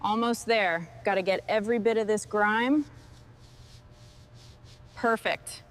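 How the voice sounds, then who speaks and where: A woman's voice: mid-range voice, slow, background noise. A woman giving short, procedural work commentary as she restores machinery.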